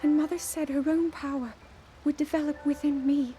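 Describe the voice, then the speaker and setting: A young woman voicing an earnest young princess who is burdened by duty and doubts herself, speaking softly in a game cutscene. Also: high-pitched, steady tone, moderate pace, faint music behind, lightly acted. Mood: anguished. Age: young adult. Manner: soft, pleading, searching. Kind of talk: video game cutscene voice acting.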